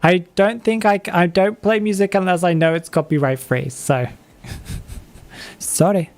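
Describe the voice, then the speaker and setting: A man's voice: high voice, fairly even intonation, unhurried, soft background music. A man in his twenties chatting casually on a live game stream, reading chat and laughing often. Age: 20s.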